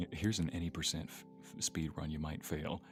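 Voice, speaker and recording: A man's voice: a bass voice, unhurried. A man chatting casually as a guest voice actor on a livestream, with a playful, folksy storytelling manner. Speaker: voice actor guest on a live stream. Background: guitar music.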